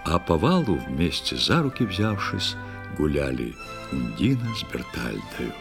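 A man narrating a verse fairy tale in an expressive, scripted storytelling style. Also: extremely low pitch, unhurried. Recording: music behind the voice. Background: clear instrumental music.

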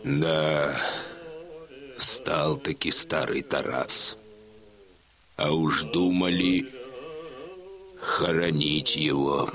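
An actor playing a staunch, proud Cossack warrior in a radio drama, speaking solemnly with drawn-out emphasis. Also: male voice, very deep voice, crowd noise, full character voice. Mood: solemn concern. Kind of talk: theatrical radio drama dialogue.

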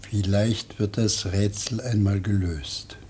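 An actor playing a grave, attentive man at a deathbed in a German radio play, speaking in a hushed, deliberate way. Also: fairly low pitch, fairly even intonation, a little hiss or hum. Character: sombre, attentive bedside companion of a dying man.

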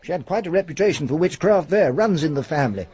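An actor playing a mild, tolerant English country vicar in a radio drama, gentle and matter-of-fact. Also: male voice, fairly high pitch, lively intonation, quick pace, breathy edge. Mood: mild, benign.

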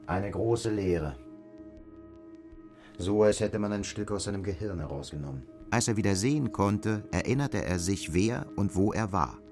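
A man's voice: lowish voice, animated, moderate pace, a little mellow, faint music behind. A man narrating an audio drama in scripted literary prose.

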